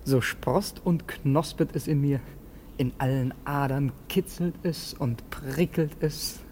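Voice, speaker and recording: A self-satisfied rogue of a servant in a classic radio drama. His voice sits fairly high, theatrical and wheedling, slipping into conspiratorial asides. Light noise clings to the old recording. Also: slow pace, a little mellow. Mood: gloating. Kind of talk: classic German radio play acting.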